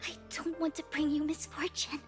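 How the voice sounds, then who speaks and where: An actress voicing a gentle, devoted young woman in a video game, speaking softly and earnestly, with music behind. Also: high-pitched, little pitch movement, moderate pace, close to an everyday voice.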